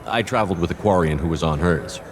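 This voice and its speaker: A man's voice: low-pitched, quick pace, a little hiss or hum, lightly acted. A man playing a resolute, commanding soldier hero in a video game, firm and direct. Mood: businesslike.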